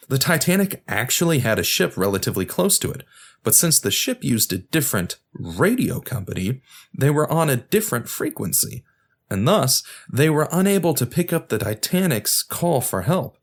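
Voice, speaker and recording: A man hosting a podcast, reading scripted educational narration in a conversational way with casual asides. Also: medium pitch. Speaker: podcast host.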